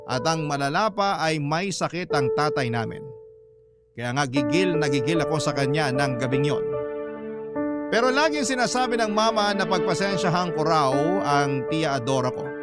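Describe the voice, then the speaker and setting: A man with a Filipino accent reading out a letter as scripted dramatic storytelling narration. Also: fairly high pitch. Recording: loud music behind the voice.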